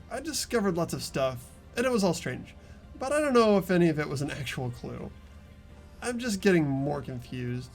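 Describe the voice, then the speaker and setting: Expressive man narrating a game, music behind. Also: a little high. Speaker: player reading as game narrator and protagonist. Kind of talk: expressive amateur game narration and casual chat.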